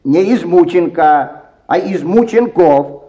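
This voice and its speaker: An actor playing an irritable, officious clinic clerk in a radio drama, grumbling and snapping orders in a husky voice. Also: male voice, high voice, expressive, slow, dark tone, muffled recording, full character voice. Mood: officious, irritated. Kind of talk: Russian radio drama acting.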